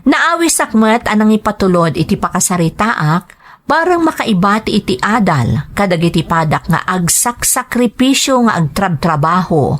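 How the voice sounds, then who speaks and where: A woman with a Filipino accent hosting and narrating, reading greetings and listeners' letters from a script. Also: fairly low pitch. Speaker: host and narrator of a drama channel.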